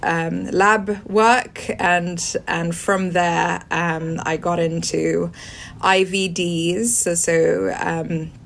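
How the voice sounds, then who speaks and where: A Swedish-born woman in her forties whose accent is British, speaking in a somewhat low voice. She answers interview questions in long, meandering turns, often stopping to restart with an um or uh. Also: steady tone, extremely slow, vocal fry. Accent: British English. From Sweden. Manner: hesitant, many ums and restarts. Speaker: guest.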